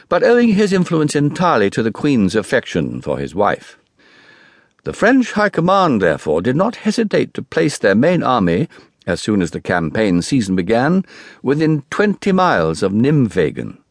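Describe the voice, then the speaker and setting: A man with an English accent giving a formal, measured, oratorical reading of literary history as a narrator. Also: medium pitch, no room sound, studio quality.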